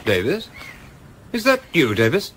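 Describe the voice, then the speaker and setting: A man playing a proper young English gentleman official in a radio play, clipped, polite and measured in manner. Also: on the high side, huge pitch swings, music in the background. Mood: civil, wary. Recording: clearly noisy ambience behind voice.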